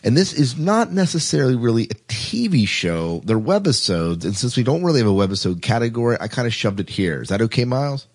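A man's voice: medium pitch, sing-song, fairly quick, few pauses. A man hosting a podcast, chatty and rambling, reading out news items in a casual, self-deprecating way. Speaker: podcast host.

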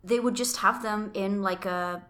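A woman co-hosts a podcast with a bright, forward tone. She explains points steadily in relaxed conversation, with her voice in the middle of the range. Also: medium pitch, unhurried. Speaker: podcast co-host. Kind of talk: casual chat.

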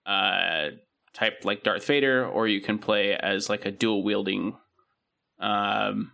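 A young man with a low, gravelly voice and a bright, edgy tone talks through a topic in a loose, casual way. He leans on plenty of fillers as he explains. It sounds like relaxed podcast chat between friends. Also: takes it slowly. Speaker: podcast co-host.

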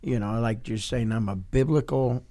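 Mid-pitched American man, casual co-host. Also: normal speed, warm tone. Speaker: podcast co-host. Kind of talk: casual conversation.

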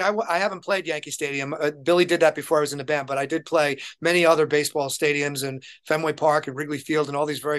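A man speaks as the guest in a relaxed interview. His voice sits a little high. He is an enthusiastic touring keyboard player who explains things through anecdotes in an informal, conversational way. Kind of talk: casual interview chat.

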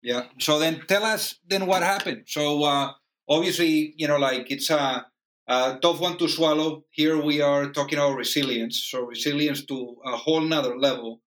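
A man's voice: on the high side, moderate pace, a bit bright. A man hosting a podcast, asking questions and affirming answers with "I hear you" and "got it," often repeating words as he goes. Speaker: podcast host. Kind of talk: interview questions.

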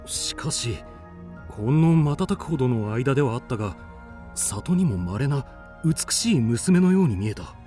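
Casting: male actor as fearless, curious period warrior, resolute and old-fashioned in speech, lively wide intonation, music faintly behind. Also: medium pitch, measured pace, close to an everyday voice. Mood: doubtful, quiet. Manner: resolute, archaic samurai phrasing. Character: bold, stubborn, curious young warrior of Heian Japan. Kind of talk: radio drama acting.

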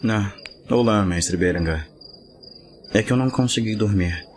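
Low-voiced, earnest young fantasy apprentice. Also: male voice, normal speed, husky. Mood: polite, earnest. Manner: polite, deferential. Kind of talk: fantasy audio drama acting. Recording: night ambience with crickets behind. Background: crickets, night insects.

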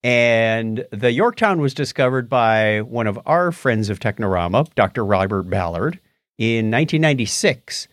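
A man's voice: mid-range voice, expressive, normal speed, dry recording, clean studio sound. A middle-aged man co-hosting a podcast, recounting things casually and often repeating the starts of his phrases. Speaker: podcast co-host. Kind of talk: casual chat, recounting films.

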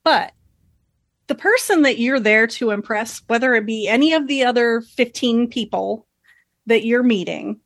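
A woman with a fairly high voice, speaking her mind emphatically in casual podcast chat. Her voice sounds close and dry. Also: normal speed, no room sound. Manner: opinionated, emphatic. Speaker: podcast co-host.

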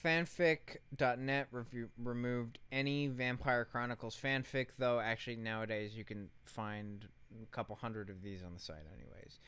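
A man's voice: medium pitch, steady tone, moderate pace, few pauses, a bit bright, clean tone. A man co-hosting a podcast, telling a story in casual commentary with an emphatic, sarcastic manner and casual swearing. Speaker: podcast co-host.

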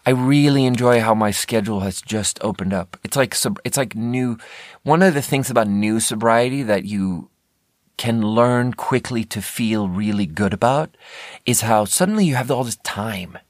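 An American man with a fairly low voice talks casually as a solo podcast host. He rambles candidly, breaking off and restarting his own sentences, in a dry recording with little room sound. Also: normal speed, dry recording. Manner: candid, conversational, self-interrupting.

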